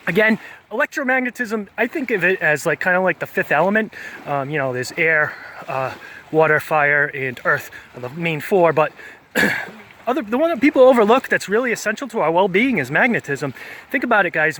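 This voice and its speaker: A man's voice: on the high side, pushed and bright, slight background noise. A man, vlogging outdoors on a beach in a rambling, hesitant way, full of fillers and self-corrections. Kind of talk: casual walking vlog monologue.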